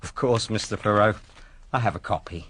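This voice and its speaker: An actor playing a confident, matter-of-fact police inspector in a radio drama, explaining in a cocksure, orderly way. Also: male voice, on the low side, moderate pace, a bit bright, breathy edge, no room sound. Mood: certain, methodical.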